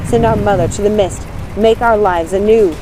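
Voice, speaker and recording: Woman acting a fierce, forceful young witch. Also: medium pitch, normal speed, background noise, lightly acted. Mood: incanting. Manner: pleading, commanding, intense.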